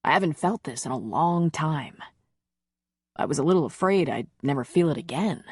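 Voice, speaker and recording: A woman voicing a big, gruff, tender man seducing his lover in a low, reassuring murmur for an audiobook. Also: animated, moderate pace, darkish tone, no room sound, clean studio sound.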